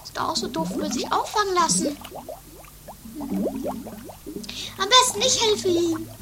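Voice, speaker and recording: A woman's voice: very high voice, expressive, unhurried, loud music behind the voice. A woman playing a scatterbrained, flustered schemer who bluffs and stammers in an amateur comedy radio play. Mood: flustered, bluffing.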